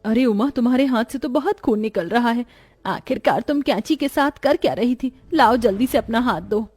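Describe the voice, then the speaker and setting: A woman's voice: fairly high pitch, normal speed, darkish tone, husky. A woman playing emotional women of a troubled family in a moral story, with emphatic, melodramatic delivery. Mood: worried scolding. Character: dramatic young and motherly women of a troubled family.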